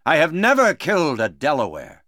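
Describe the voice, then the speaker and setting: A man's voice: a little high, wildly swooping pitch, normal speed, fairly bright tone, no room sound, studio quality, voice pushed up. A man voicing a firm, cautious frontier rifleman in a storybook, in terse, plain statements.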